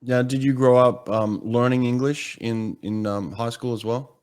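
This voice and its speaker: A man from Australia, hosting an interview and asking relaxed, curious questions. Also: medium pitch, normal speed, smooth. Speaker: podcast host. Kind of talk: interview conversation.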